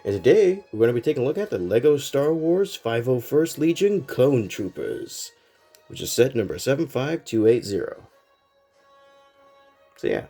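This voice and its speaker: A man's voice: mid-range voice, moderate pace, creaky, soft background music. A man casually reviewing a toy set, sounding congested and a little nasal from a cold, rambling with plenty of fillers. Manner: rambling, relaxed, lots of fillers.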